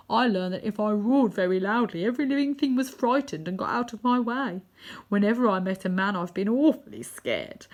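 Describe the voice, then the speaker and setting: A woman's voice: medium pitch, close to an everyday voice. A woman voicing a big, timid male lion in a children's storybook, mournful, plaintive and emphatic. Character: big, sorrowful, timid male lion, gloomy and self-pitying. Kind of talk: children's storybook character reading.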